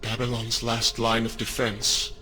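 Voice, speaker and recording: An actor playing a somber, grieving royal in a dramatic video game, with noisy ambience around the voice. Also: male voice, medium pitch, monotone, unhurried, natural voice.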